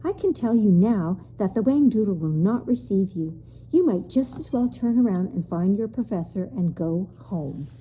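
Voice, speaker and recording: A haughty, irritable kingdom official from a children's story, acted out by a woman reader. The delivery is stern and clipped, with lively pitch swings over a dark, mellow tone. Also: moderate pace, slight background noise, poor audio. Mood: brisk, firm. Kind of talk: children's storybook character reading.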